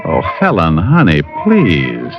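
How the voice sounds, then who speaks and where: A man playing a wry, smooth, teasing private detective in vintage radio drama style. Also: sits low, wildly swooping pitch, slow, loud music behind the voice, exaggerated voice. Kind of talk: 1940s-50s radio drama acting. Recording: muffled vintage radio recording.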